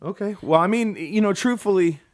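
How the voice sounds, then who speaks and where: A man's voice: high-pitched, fairly quick. A man co-hosting a casual podcast, joking and teasing, often restarting his sentences. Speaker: podcast co-host. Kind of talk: casual banter.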